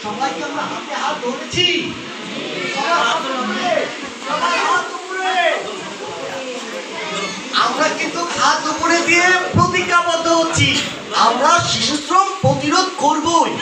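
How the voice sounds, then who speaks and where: A man's voice: extremely high pitch, a little slow, long pauses, heavy background noise. A man voicing a playful, eager puppet who coaxes and questions children in a live show. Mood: earnest, urging. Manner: exhorting, asking short checking questions. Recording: echoey, distant microphone, music behind.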